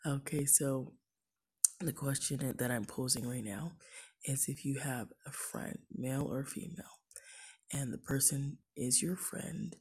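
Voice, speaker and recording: Woman w/ a gravelly husky voice, chatting low and half whispered on a livestream. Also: measured pace. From California. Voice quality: raspy, husky. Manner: soft, half-whispered musing, frequent ums. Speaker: livestream host. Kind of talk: casual live-stream chat.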